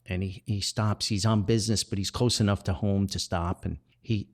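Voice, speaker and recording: A man's voice: on the low side, steady tone, normal speed. A middle-aged man hosting a podcast, telling nostalgic anecdotes in a casual, rambling way. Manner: rambling, chuckles often, nostalgic storytelling. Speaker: podcast host and author.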